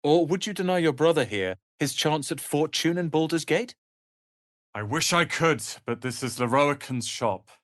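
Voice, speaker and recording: Male actor as proud young male wizard, haughty, articulate, theatrical, sharp-tongued, fantasy video game, dry close sound. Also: on the high side, lively intonation, normal speed, no echo, lightly acted. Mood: haughty, composed.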